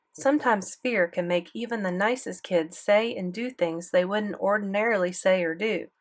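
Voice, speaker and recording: A woman voicing a kindly, encouraging male schoolteacher's letter in an audiobook, measured and gently questioning. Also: medium pitch, lightly acted.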